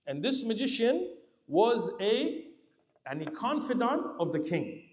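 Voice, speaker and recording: Animated male preacher in an echoey hall. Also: measured pace. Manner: animated, lively pitch swings, explanatory asides. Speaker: Islamic preacher. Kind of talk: sermon storytelling with commentary. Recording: roomy hall reverb.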